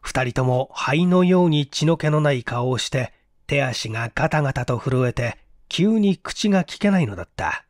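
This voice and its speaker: Animated male mystery narrator, dry sound. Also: mid-range voice, moderate pace, hardly any pauses, dry recording. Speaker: audiobook reader. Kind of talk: dramatic scripted narration of a mystery story.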